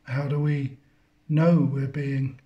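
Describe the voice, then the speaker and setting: A man leading a guided meditation, speaking contemplatively in halting phrases with long pauses between them. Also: medium pitch, little pitch movement, lo-fi sound. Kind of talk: guided meditation, spiritual talk.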